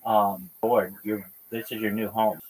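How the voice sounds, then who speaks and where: A man's voice: fairly low pitch, little pitch movement, measured pace, a little mellow, poor audio. A man hosting a livestream, chatting casually with a caller, reacting briefly and asking easy questions. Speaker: livestream host. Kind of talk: casual chat.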